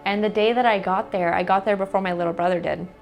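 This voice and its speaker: Young American woman, casual interviewee, filler-heavy chat, mid pitch. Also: steady tone, fast talker, creaky, faint music behind. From United States. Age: young adult. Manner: chatty, with frequent "like" fillers.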